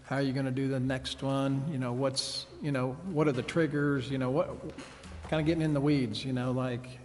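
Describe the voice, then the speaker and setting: A man putting probing, halting questions at a public meeting, speaking off the cuff. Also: medium pitch. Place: large indoor council chamber. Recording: reverberant room, faint background noise.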